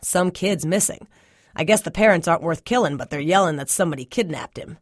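Woman doing a gruff, defensive country dad. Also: lowish voice, a little fast, dry recording, clean studio sound, close to an everyday voice. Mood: defensive, dismissive. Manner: blunt, folksy, dismissive. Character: gruff, defensive hard-drinking rural father. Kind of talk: audiobook character dialogue.

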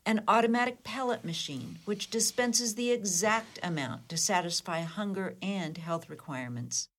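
A woman's voice: medium pitch, normal speed, a bit bright, close to an everyday voice. A woman playing a defiant, flippant suspect in a comedic radio play, wry and haughty with clipped retorts. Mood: haughty, proud. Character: defiant, flippant, sardonic woman under police questioning.

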